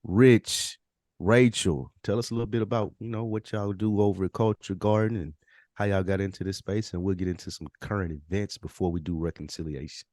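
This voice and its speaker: A man's voice: sits low, steady tone, a little fast, a little mellow, no room sound, clean studio sound. A man hosting a podcast, making informal, slangy, welcoming introductions in casual talk. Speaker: podcast host.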